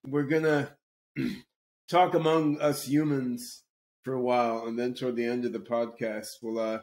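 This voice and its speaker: A man hosting a casual podcast conversation, talking in a halting way with many repeated words and restarts. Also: medium pitch, flat delivery, darkish tone. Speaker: podcast host.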